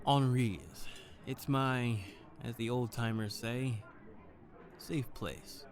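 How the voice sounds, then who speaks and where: A man's voice: mid-range voice, steady tone, takes it slowly, close to an everyday voice. A man acting an earnest private detective, narrating in brooding noir style for an audio drama. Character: earnest, wounded, soft-hearted male private detective.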